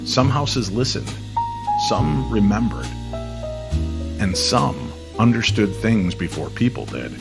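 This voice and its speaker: A man narrating an eerie scripted story in an old-time radio style, measured and suspenseful. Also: lowish voice, expressive, gravelly, over music.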